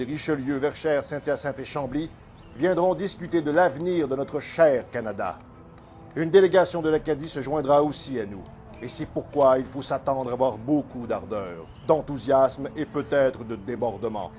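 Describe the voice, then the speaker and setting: A man voicing an earnest, resolute nineteenth-century Patriote leader in an animated film, with formal, measured oratory. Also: a little high, lightly acted. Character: earnest, resolute 19th-century male Patriote leader briefing his men. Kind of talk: animated historical film speech.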